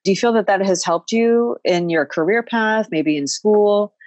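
A woman's voice: medium pitch, normal speed, a little mellow, no room sound, dull audio. A woman hosting a podcast interview, friendly and chatty, with frequent fillers and easy laughter. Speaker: podcast host.

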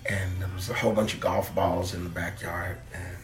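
A man from Kentucky, a guest singer, telling relaxed stories in an interview with many filler words. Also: low voice, normal speed, soft background music. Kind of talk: interview storytelling.

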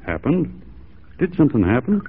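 Casting: man to play dry, hesitant, homespun frontiersman for old radio drama, average pitch, phone-like sound. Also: sing-song, moderate pace, noisy background, close to an everyday voice. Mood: tender, hesitant. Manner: folksy, hesitant, drily sardonic. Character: wry, plain-spoken frontiersman, soft on a lady. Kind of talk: 1940s radio drama acting. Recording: tinny, narrow-band 1940s radio recording.

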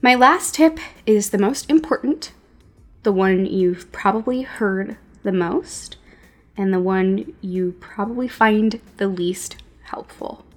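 A woman hosting a podcast, delivering a scripted but conversational monologue of advice with wry emphasis and deliberate repetition. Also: mid-range voice. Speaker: podcast host giving advice to creators.

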